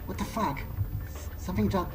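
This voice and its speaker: A man with a somewhat high voice narrates his gameplay in character. He improvises hesitantly, as if thinking aloud, and curses casually. Game soundtrack music plays clearly behind him. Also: brisk. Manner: hesitant, muttering to himself, sweary. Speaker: let's player.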